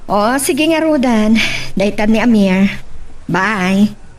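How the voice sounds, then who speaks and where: A woman with an Ilocano accent acting a lively woman in a domestic romance radio drama. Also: mid-range voice, takes it slowly, slight background noise. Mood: busy, chatty.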